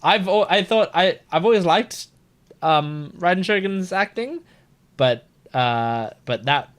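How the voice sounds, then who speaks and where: A man streaming a video game, reacting in a casual, animated, informal way with some swearing. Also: high-pitched, takes it slowly. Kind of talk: casual gameplay commentary.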